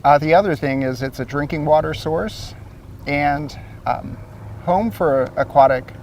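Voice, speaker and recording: An easygoing man with a slightly high voice explains things step by step and leans on small fillers. He is recorded outdoors by a lake, a little away from the mic, with faint noise behind him. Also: a little slow, a little mellow, far from the mic. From Ohio. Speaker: source water protection coordinator, guest. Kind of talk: explanatory interview answers.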